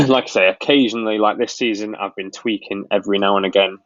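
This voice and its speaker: A man from Lancashire in northwest England with a mild northern accent, hosting a casual live Q&A and answering viewers' questions in a relaxed, rambling way. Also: lowish voice, fast talker, no room sound, dull audio. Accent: Northern English (Lancashire). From Blackpool, Lancashire, northwest England. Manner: relaxed, rambling, frequent ums and restarts. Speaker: wedding filmmaker hosting a live Q&A. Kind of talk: casual live-stream Q&A, reading out chat questions.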